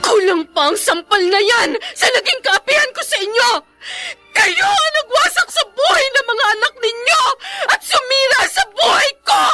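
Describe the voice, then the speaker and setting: A woman's voice: extremely high pitch, big pitch swings, moderate pace, sharp tone, soft background music, heavily acted. An actress in a radio drama playing a wronged young woman, desperate and emotional, with melodramatic pleading delivery. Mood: bitter, angry. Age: young adult.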